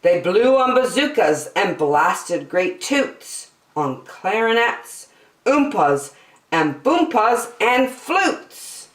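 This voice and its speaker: A woman storyteller reading children's verse aloud in an expressive, deliberate, rhythmic way. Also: mid-range voice. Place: indoors, reading over a picture book. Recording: roomy echo, distant mic.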